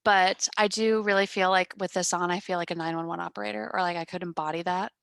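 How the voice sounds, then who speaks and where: A woman in her twenties hosting a podcast on a headset, chatting casually in a calm, fairly monotone voice with lots of fillers and deadpan humor. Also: on the low side, quick pace, vocal fry, smooth, no echo, clean studio sound. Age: 20s. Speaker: podcast host. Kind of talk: casual chat. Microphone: gaming headset.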